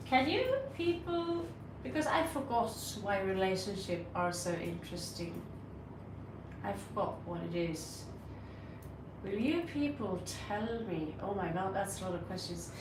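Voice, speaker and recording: A woman's voice: mid-range voice, big pitch swings, a little slow, distant mic. Blunt Norwegian-accented woman, echoey room. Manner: blunt, scolding, sarcastic asides to chat. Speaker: tarot reader livestream host. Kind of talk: casual live-stream chat answering viewers. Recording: echoey room, mic set back, audible noise.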